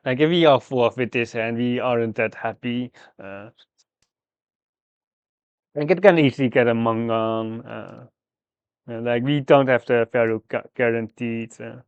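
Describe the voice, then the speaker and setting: Slow, halting man streamer, smooth voice. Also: mid-range voice, dry recording, highs cut off. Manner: halting, thinking aloud in fragments. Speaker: game streamer. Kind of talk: live mahjong gameplay commentary, thinking aloud.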